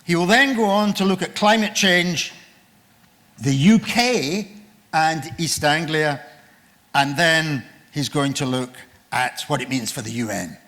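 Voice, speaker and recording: An older man with a loud voice, chairing a conference session and formally introducing a guest speaker after a joking opening. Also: a little high, expressive, a little slow. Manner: jokey, then reads out a guest's biography. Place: conference room.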